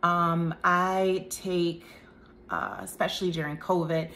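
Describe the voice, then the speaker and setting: A woman's voice: on the low side, sing-song, slow, fairly bright tone, a little hiss or hum. A woman in her thirties giving a casual health talk to camera, chatty, with joking asides. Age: 30s. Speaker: vlogger.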